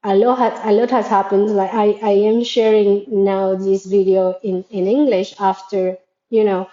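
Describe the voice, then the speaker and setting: Mellow-voiced woman, earnest reflection. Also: mid-range voice, little pitch movement, measured pace, echoey room, muffled recording. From Latin America. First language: Spanish. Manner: thoughtful and earnest, posing questions to listeners. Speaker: educator and creator of a school framework. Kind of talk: reflective spoken monologue.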